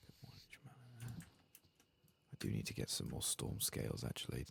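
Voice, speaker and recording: A man doing a soft-spoken ASMR game playthrough, murmuring and often slipping into a whisper. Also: rumbling low, big pitch swings, brisk, vocal fry. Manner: murmuring, drifting between soft speech and whisper. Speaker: ASMR gaming streamer.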